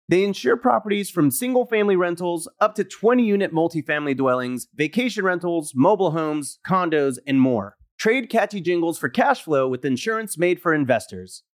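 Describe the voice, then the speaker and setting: A man's voice: fairly high pitch, no echo, clean studio sound. A man reading sponsor ads in an upbeat, salesy way. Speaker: ad reader. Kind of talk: scripted ad read.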